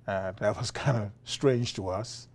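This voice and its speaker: A man with a Liberian accent, a philosophy professor being interviewed, talking in a relaxed way with frequent fillers and chuckles. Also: on the low side, measured pace. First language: Liberian English. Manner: chuckling, many uh fillers and restarts. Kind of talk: conversational interview.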